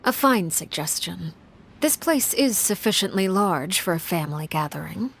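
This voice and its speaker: Poised, calculating leader. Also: female voice, on the low side, expressive, gravelly, a bit bright, a little hiss or hum. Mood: composed. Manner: formal, measured, precise diction. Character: poised, calculating adult woman leader, composed and formal. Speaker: video game character. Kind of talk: scripted game voice lines.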